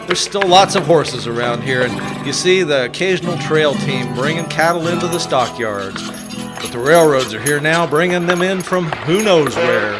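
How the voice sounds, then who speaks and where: A man's voice: on the high side, close to an everyday voice. A man playing a folksy narrator of early-1900s Kansas City in an old-time radio Western. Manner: folksy, drawling cowboy-era phrasing.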